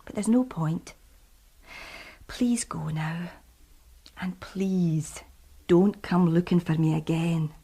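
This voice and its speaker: A woman's voice: on the low side, fairly even intonation, slow, long pauses, mellow, husky, heavily acted. An actress playing a policeman's weary, middle-aged estranged wife in a radio drama, wry, resigned and firm. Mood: weary, resolute. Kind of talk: naturalistic radio drama acting.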